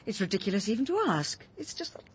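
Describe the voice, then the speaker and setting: Troubled, earnest Englishwoman, radio drama. Very breathy, hesitant delivery with a lively, shifting pitch. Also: fast talker. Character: troubled, earnest woman gently confronting her friend. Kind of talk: British radio drama acting.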